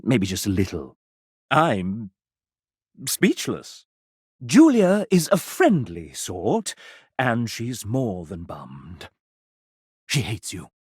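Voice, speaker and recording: A man voicing a sassy, arch gentleman who narrates a dating video game in a theatrical, mock-genteel and sardonic manner. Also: on the low side, wildly swooping pitch, slow, long pauses, breathy edge, no room sound, studio quality, full character voice. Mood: mock-regretful, cutting.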